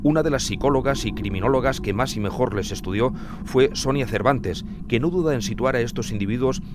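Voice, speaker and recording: A man's voice: medium pitch, a little fast, background noise. A middle-aged man from Spain with a Spanish accent, narrating scripted true crime as a journalist in dramatic, literary phrasing.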